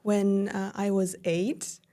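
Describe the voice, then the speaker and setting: A woman in her thirties from southern Germany with a German accent, a podcast guest recounting her life in a warm, filler-heavy way. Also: medium pitch, level tone, slow. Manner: warm, storytelling with many fillers. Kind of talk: casual interview conversation.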